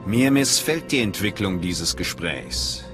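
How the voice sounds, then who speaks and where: A man's voice: deep voice, very animated, slow pace, over music, heavily acted. Cool, haughty man with swooping intonation. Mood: guarded, cool. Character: cool, haughty male Sith sorcerer, ambitious and guarded. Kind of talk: dramatic game voice acting.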